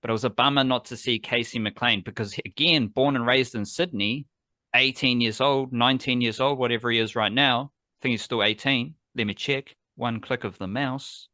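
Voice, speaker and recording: A man's voice: medium pitch, fairly even intonation, normal speed, sharp tone, no room sound, studio quality. A man hosting a casual sports podcast, rambling enthusiastically and correcting himself as he goes. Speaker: podcast host.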